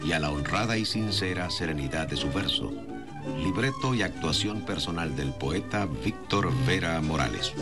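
A man announcing an archival radio program with a formal, old-fashioned cadence. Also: deep voice.

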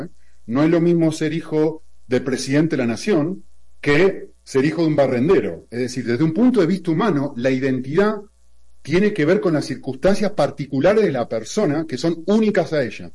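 A man with an Argentine accent teaches in a relaxed, conversational way. He leans on examples and rhetorical questions to build his point. His voice sits a little higher than most men's. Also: normal speed. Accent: Argentine Spanish.

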